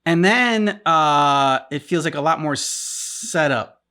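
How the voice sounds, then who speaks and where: A man's voice: on the high side, animated, takes it slowly, bright, forceful tone. A man co-hosting a reaction show, talking casually in loose, hesitant phrases. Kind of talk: casual discussion of game cutscenes.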